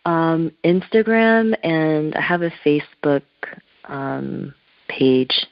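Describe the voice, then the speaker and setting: A native Korean-speaking woman talks slowly and thoughtfully, feeling her way through each idea. Her voice is dry and close, with no room sound. It comes through a muffled telephone line. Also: sits low, lively intonation, no echo. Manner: searching, thoughtful, loose phrasing. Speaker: interview guest, spiritual artist. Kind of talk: reflective telephone interview talk.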